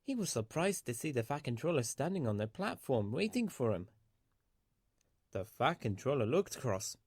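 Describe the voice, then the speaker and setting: A man's voice: mid-range voice, big pitch swings, normal speed, husky, no echo, studio quality. A man reading a children's story aloud as scripted narration.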